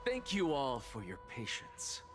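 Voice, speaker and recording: An actor playing a composed, aloof scholar in a video game, speaking in a formal, theatrical and calculating way. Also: male voice, medium pitch, huge pitch swings, unhurried, soft background music. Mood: formal, probing. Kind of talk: dramatic video game acting.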